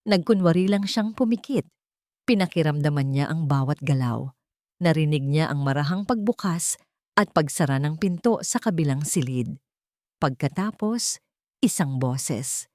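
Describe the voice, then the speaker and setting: A woman narrating a dramatic audio story in a steady, suspenseful storytelling manner. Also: sits low, moderate pace, dry recording, clean studio sound.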